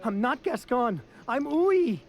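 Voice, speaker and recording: High, lively, flustered pleader. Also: female voice, takes it slowly, dull audio, exaggerated voice. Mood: pleading, flustered. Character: pleading, flustered accused person in a game. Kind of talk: scripted video game dialogue.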